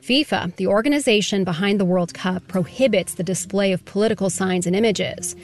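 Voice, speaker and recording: A woman reporter narrating a scripted radio news story in a measured, even delivery. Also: mid-range voice, soft background music.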